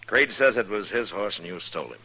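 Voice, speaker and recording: American frontier marshal for radio western: low, forceful voice, measured and unflappable. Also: male voice, animated, heavily acted. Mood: calm, firm. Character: calm, firm, plain-spoken male frontier marshal.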